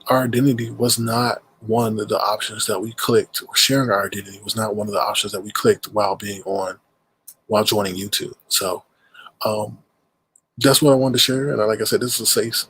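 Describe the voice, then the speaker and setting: A man's voice: fairly low pitch, fairly bright tone, breathy edge, low-quality recording. A man with a stuffed-up nose hosting a casual livestream, rambling and greeting viewers in chat mid-sentence. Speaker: livestream host.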